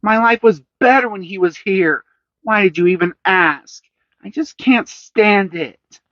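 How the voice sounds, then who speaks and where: A storybook reader voicing an angry young boy who groans, shouts and snarls, in a high strained tone. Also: unhurried, no echo, lo-fi sound, natural voice. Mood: sulky.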